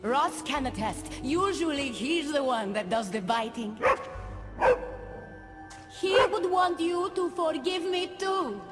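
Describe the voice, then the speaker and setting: A woman's voice: high-pitched, lively intonation, slow pace. A woman playing a poised, gracious, possessive goddess queen of a paradise realm in a video game cutscene. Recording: long reverb, music behind.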